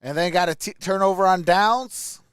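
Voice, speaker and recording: A man's voice: high voice, normal speed, fairly bright tone, clear, resonant tone, no echo, clean studio sound. A man hosting a sports livestream, casually mixing play-by-play with informal, chatty replies to viewers. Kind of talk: casual live play-by-play and chat.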